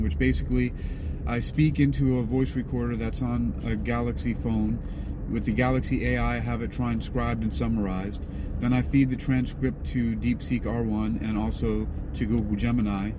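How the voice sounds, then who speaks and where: A man answering interview questions in a conversational, deliberate, explanatory way, laying out points step by step. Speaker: interview guest, creator of audio dramas. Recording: noisy recording with vehicle-like rumble.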